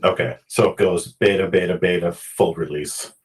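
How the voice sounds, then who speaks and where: A man's voice: low voice, fairly even intonation, moderate pace, distant mic. A man hosting a casual chat, joking and upbeat as he asks questions. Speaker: host.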